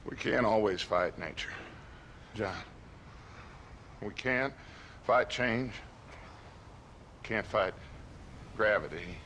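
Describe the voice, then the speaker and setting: Weary old outlaw boss, american, really slow and fatalistic, noisy behind. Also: male voice, lowish voice, a bit bright. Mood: weary, resigned. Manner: slow, philosophical. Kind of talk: video game cutscene acting.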